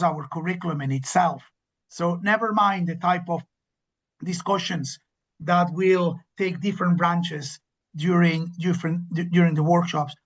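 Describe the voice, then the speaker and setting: A man's voice: on the high side, dull audio. A man speaking as an academic director in a webinar information session, fluent and emphatic, often repeating words as he builds a point. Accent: non-local, not from the host city.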